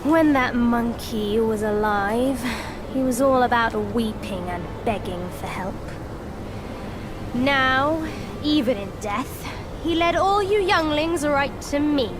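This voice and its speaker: A woman playing a gloating, mocking villain master in a fantasy video game, with a drawn-out, theatrical delivery. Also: sits high, lively intonation, noisy background. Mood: gleeful mockery.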